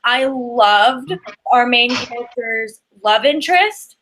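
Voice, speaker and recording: Woman, book show co-host, bright forceful tone, slightly high pitch, chatty, informal, lots of "like". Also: slow pace, low-quality recording. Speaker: book vlogger co-hosting a live show. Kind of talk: casual book chat.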